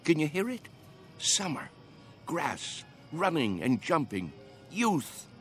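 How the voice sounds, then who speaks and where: Spirited old man, high and swooping. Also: huge pitch swings, slow, a bit bright, soft background music, full character voice. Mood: urgent pleading. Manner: earnest, coaxing, dreamy. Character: spirited, pleading old man longing for youth. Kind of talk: radio drama acting.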